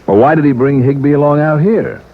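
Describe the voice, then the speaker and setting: An actor playing a calm, shrewd American insurance investigator, asking measured, probing questions in old-time radio drama style. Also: male voice, on the high side, lightly acted. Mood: curious. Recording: dull vintage radio recording.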